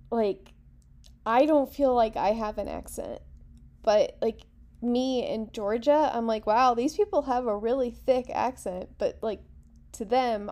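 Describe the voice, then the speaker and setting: A woman co-hosting a casual discussion, explaining her views in a relaxed, steady way with a few fillers. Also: fairly high pitch. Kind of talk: casual chat.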